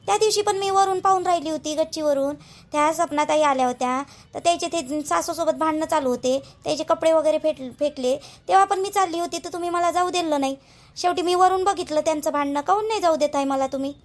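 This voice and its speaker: A woman's voice: sits high, fast talker, natural voice. A woman playing an aggrieved, stubborn young village wife in a family cartoon, protesting and questioning in a Vidarbha Marathi accent. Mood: hurt, puzzled. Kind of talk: family drama cartoon dialogue.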